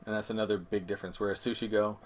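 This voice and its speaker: A man's voice: lowish voice, flat delivery, very fast, a little hiss or hum. A man co-hosting a board game review, explaining things methodically in casual conversation and correcting himself as he goes. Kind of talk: casual comparison chat.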